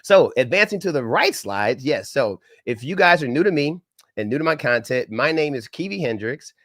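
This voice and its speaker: A man's voice: medium pitch, sing-song, moderate pace, fairly bright tone, no echo, studio quality. Upbeat middle-aged man with a head cold. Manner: upbeat, folksy, preacher-like greetings. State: has a head cold, slightly crackly and nasal. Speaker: government contracting trainer. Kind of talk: live-stream training talk. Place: home setup in Dallas.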